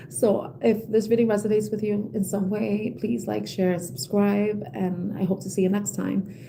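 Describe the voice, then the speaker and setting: A young woman giving a collective tarot reading with a soothing voice, talking gently and rambling with many fillers and restarts. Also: medium pitch, flat delivery, unhurried, vocal fry, noisy background. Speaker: tarot reader.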